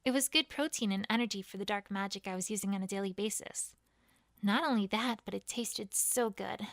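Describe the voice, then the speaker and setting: A woman's voice: mid-range voice, fairly quick, fairly bright tone, no echo, clean studio sound. A woman reading fan fiction as first-person story narration, in character as a proud pony queen.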